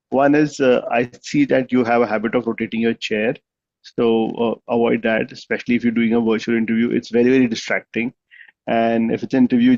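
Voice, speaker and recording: A man's voice: mid-range voice, darkish tone, highs cut off. A man giving conversational spoken feedback at a club meeting over a video call, with many restarts and fillers. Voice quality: gravelly. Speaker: meeting evaluator.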